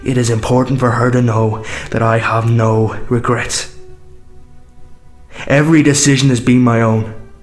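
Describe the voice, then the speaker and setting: An actor with a Northern Irish accent playing a condemned young man who calmly narrates his last letter, with soft music behind. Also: mid-range voice, lightly acted. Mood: resigned. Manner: slow, resigned narration.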